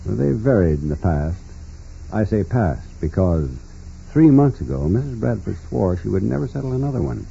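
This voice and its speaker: An actor playing a dignified, formal judge in a 1940s radio drama, speaking in a measured, courteous and hesitant way. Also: male voice, fairly low pitch, big pitch swings, vocal fry, warm tone, husky, exaggerated voice. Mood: measured, confiding. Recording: muffled, noisy 1940s radio recording.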